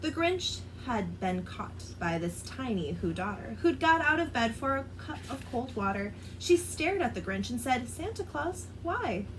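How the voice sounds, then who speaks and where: A woman's voice: fairly high pitch, moderate pace, hardly any pauses, slight background noise, low-quality recording. Woman reading a rhyming storybook aloud. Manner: expressive, sing-song rhyming delivery. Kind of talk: reading a children's book aloud.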